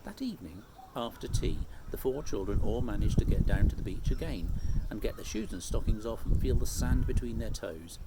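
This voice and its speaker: A man reading a children's story aloud like a teacher, in an expressive storytelling style. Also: on the low side, a little fast, few pauses, very noisy.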